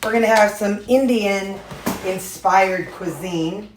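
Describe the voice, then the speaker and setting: A woman's voice: medium pitch, slow pace. Chatty woman in an echoey kitchen. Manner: chatty, folksy, talks while cooking. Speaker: vlogger. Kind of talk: casual cooking vlog chat. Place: home kitchen. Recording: echoey kitchen with faint noise.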